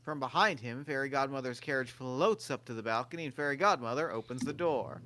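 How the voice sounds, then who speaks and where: A reader calmly narrating stage directions at a live table read. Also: medium pitch, fairly quick, a little hiss or hum. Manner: plain, matter-of-fact.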